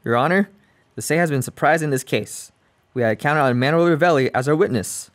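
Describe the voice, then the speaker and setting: A young man playing an earnest, formal male district attorney who speaks with a few halting stumbles, in a comic radio play. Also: fairly high pitch, close to an everyday voice. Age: young adult.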